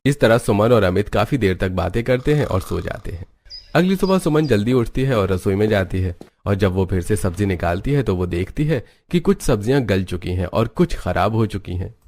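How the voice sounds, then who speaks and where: A man's voice: on the low side, lively intonation, normal speed, a little mellow. A man reading scripted narration for a moral story.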